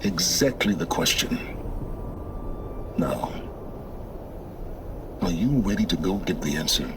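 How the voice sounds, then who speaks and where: A cold, condescending scholar. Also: male voice, low voice, expressive. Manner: condescending, clipped, slow deliberate. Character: cold, aloof man, scholarly mentor. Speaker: game character. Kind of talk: video game cutscene acting. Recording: game audio with clear background noise.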